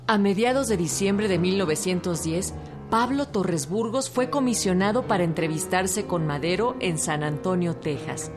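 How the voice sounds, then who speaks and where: A woman's voice: lowish voice, moderate pace, music in the background. A woman with a Mexican accent reading scripted historical narration as a radio narrator.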